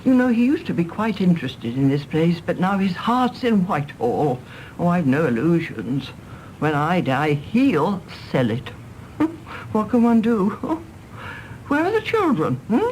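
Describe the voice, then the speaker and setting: Elderly British matriarch, brisk, fretting, swooping intonation, radio play, faint background noise. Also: female voice, lowish voice, big pitch swings, darkish tone, low-quality recording. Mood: fretful. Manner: brisk, fussy, exclamatory. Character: fussing, possessive elderly mother, brisk and exclamatory.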